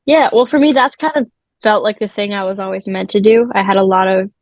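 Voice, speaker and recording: A chatty teenage woman, guesting on an interview call. Her voice has a gravelly fry at the ends of phrases. The sound is dry and close, with no room around it. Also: mid-range voice, brisk, no room sound. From South Africa, raised in Vancouver. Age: late teens. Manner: chatty, upbeat, lots of fillers. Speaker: guest magician. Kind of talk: casual chat. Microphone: remote call.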